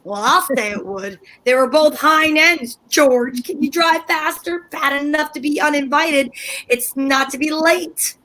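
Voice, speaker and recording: A woman plays a scheming wife from an old-time radio comedy. Her voice is very high, and she talks in theatrical exclamations. She is bossy and wheedling, always bluffing with confidence. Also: unhurried, bright, forceful tone. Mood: playful impatient.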